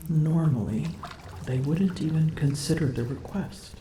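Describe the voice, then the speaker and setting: A woman's voice: low-pitched, warm tone, reverberant, slight background noise. A woman voicing a proud, smug female dragon in human form, haughty and teasing, in an ASMR roleplay. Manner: haughty, teasing, slow and deliberate.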